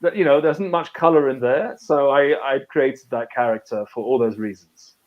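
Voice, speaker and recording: A man's voice: medium pitch, normal speed, muffled recording. A man, an author and conservation biologist from a British and French background, talks casually on a live video call, with many fillers and restarts. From half British, half French.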